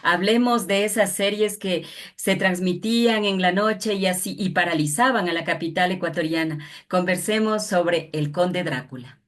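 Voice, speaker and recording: Lowish-voiced Ecuadorian woman interviewer. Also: moderate pace. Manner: formal, respectful address. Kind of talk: conversational radio-style interview.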